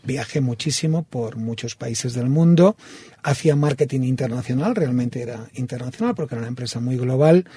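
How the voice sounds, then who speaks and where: A man's voice: mid-range voice, a little fast, warm tone, slightly rough. A man with a Castilian Spanish accent, a radio interview guest in a studio booth, speaking fluently and evenly. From Spain, Madrid. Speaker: interview guest, coaching school president.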